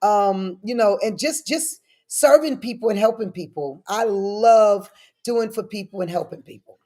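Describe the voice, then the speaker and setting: A middle-aged woman giving animated, personal answers as an interview guest, speaking emphatically and repeating phrases to drive them home. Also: mid-range voice, unhurried. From born in Frankfurt, Germany; lived in New York City. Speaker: guest, daycare business owner.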